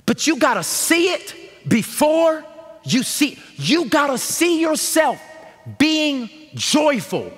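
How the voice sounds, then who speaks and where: A man in his thirties preaching a sermon from a large church stage, animated and shouting call-and-response lines to the congregation. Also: very high voice, takes it slowly. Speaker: pastor. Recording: long reverb of a large hall.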